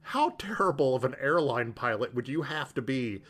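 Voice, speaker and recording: A man co-hosting a podcast, casually discussing film in an animated, joking way with rambling asides. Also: mid-range voice, normal speed. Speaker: podcast co-host.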